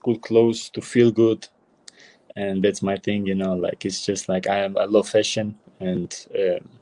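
A young man with an Albanian accent answering interview questions, halting often with fillers and restarts. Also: fairly low pitch, dark tone, low-quality recording. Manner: hesitant, many fillers and restarts. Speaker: footballer guest.

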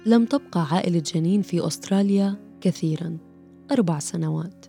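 A woman reading scripted documentary narration in a measured, formal way. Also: on the low side, creaky, dark tone, faint music behind.

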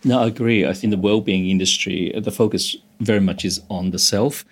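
Low-voiced meditation teacher from Hong Kong. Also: male voice, fairly even intonation, brisk, breathy edge. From Hong Kong, moved to Australia as a teenager. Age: 50s or older. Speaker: meditation instructor, interview guest. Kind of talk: explanatory interview talk.

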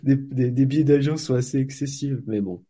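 A man's voice: mid-range voice, fairly quick, warm tone. A man from France, a volunteer football blogger, answering interview questions in a hesitant way, with many fillers and restarts.

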